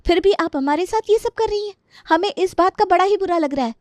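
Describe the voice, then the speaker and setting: A woman's voice: extremely high pitch, a little fast, dry recording, voice pushed up. A woman playing a young daughter-in-law in a family story, sarcastic, resentful and aggrieved.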